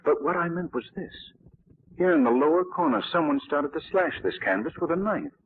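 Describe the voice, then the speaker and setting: An actor playing a composed, observant, well-bred amateur sleuth in 1940s radio mystery style, speaking in a measured, suave manner. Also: male voice, fairly high pitch, animated, natural voice. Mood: grave, deliberate. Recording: muffled, crackly 1940s radio recording.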